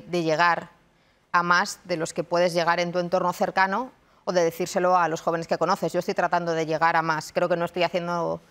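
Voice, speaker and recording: Woman from madrid answering interview questions, slightly low voice, careful and reflective, restarts phrases a lot. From Madrid, Spain. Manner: thoughtful, measured, frequent restarts. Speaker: interview guest, university researcher and lecturer. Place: television studio.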